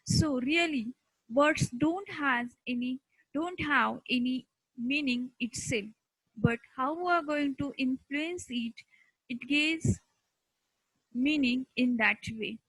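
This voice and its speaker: A woman teacher with an Indian accent giving an instructional lecture in short, deliberate, emphatic phrases. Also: high voice, no room sound, low-quality recording.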